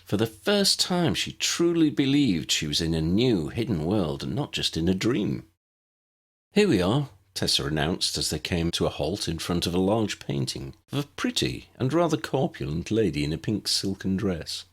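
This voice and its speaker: Low, creaky, very expressive male storyteller. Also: moderate pace, hardly any pauses. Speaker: audiobook narrator. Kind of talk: scripted fiction narration.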